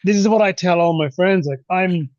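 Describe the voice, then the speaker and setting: A chatty man in his forties, his voice pitched a little high for a man. He talks easily and laughs often. The audio comes through a dull, dry remote call line with no room sound at all. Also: normal speed, a little mellow, dry recording. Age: 40s. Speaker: podcast host. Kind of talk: casual conversation.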